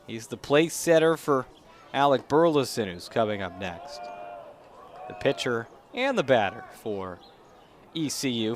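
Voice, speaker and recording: A young man doing live baseball play-by-play commentary over outdoor ambient noise. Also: medium pitch. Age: young adult. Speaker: play-by-play announcer. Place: outdoor ballpark during a live game. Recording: noisy outdoor ballpark ambience.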